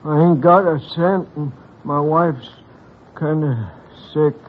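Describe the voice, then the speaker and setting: Humble, sickly New man, radio play: slow, stammering, congested, tinny old sound. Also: fairly high pitch. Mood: plaintive. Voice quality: husky, congested. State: has a bad cold. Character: meek, down-on-his-luck sick man in Santa suit. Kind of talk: vintage radio drama acting. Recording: thin, phone-like vintage radio recording.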